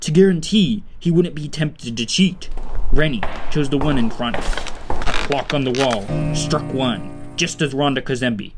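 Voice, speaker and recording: A man's voice: medium pitch, a little slow, over music. A man narrating an audio drama in a scripted storybook style.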